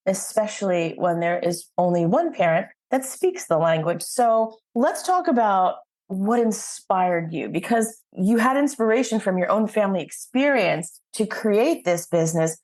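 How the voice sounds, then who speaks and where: Female podcast host, average pitch, conversational, affirming interviewer who recaps and prompts. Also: darkish tone. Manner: affirming, sums up before asking questions.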